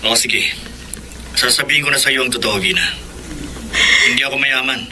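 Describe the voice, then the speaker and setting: Man playing calculating Filipino boyfriend, mid-pitched. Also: moderate pace, close to an everyday voice. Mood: persuasive. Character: cold, manipulative young boyfriend. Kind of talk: Tagalog radio drama acting. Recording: clearly noisy radio drama audio.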